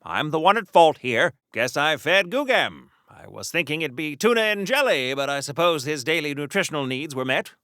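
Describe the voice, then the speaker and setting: An actor voicing a chipper, naive old-fashioned superhero for a video game, with theatrical, politely oblivious, mock-formal delivery in a 1940s radio style. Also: male voice, a little high, sing-song, normal speed, few pauses, pushed and bright, no room sound, clean studio sound, full character voice. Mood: cheerful, curious.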